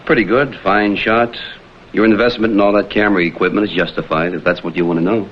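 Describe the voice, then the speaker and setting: An actor playing a wry, laconic private detective in a vintage screen drama. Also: male voice, fairly low pitch, fairly even intonation, fast talker, a little hiss or hum, natural voice. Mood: dry, businesslike. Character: wry, cool private detective, adult man. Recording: dull vintage TV soundtrack, background music.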